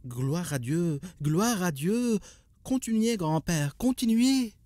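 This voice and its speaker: A man's voice: high-pitched, expressive, slow, warm tone, dry recording. A man voicing a devout, respectful listener in a cartoon, speaking politely and reverently. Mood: reverent, eager. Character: devout, respectful listener eager for grandfather's stories. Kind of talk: cartoon dubbing.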